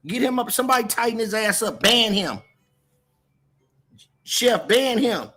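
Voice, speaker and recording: A man's voice: very high voice, sing-song, unhurried, pushed and bright. An American man from California hosting a casual livestream, talking in a slangy, repetitive, confrontational way as he moderates the chat. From Oakland, California, US.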